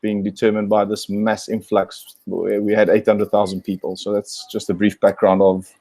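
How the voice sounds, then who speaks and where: A man's voice: fairly low pitch, completely flat delivery, moderate pace, a little mellow, a little hiss or hum. A man from South Africa, a guest on a video call, answering thoughtfully with many fillers and restarts.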